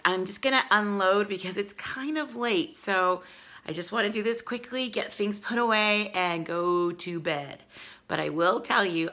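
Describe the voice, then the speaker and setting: A woman vlogger chatting casually to her viewers, hoarse from a cold and losing her voice. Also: mid-range voice, pushed and bright. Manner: chatty, talks straight to viewers with asides. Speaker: vlogger showing a grocery haul. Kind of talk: casual chatty vlog talk.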